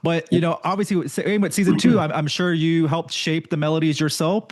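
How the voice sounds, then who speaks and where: Friendly host with a dry, close sound. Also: male voice, on the high side, fairly even intonation, fairly quick, no room sound. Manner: friendly, laughs between questions, says "man" a lot. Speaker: interview host. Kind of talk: casual interview chat.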